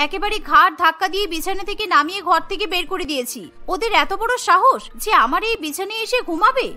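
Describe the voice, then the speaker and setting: A woman's voice: very high-pitched, expressive, fast, pushed and bright, faint music behind, full character voice. A woman voicing a haughty, possessive young daughter-in-law in a cartoon. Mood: boastful, indignant.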